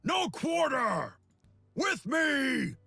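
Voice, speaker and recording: A man's voice: extremely high pitch, big pitch swings, very slow, vocal fry, pushed and bright, no echo, shouting, full character voice. An actor voicing a proud, resolute warrior prince in a video game, rallying his soldiers with formal, commanding declamation. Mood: rallying battle cry. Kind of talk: video game character voice acting.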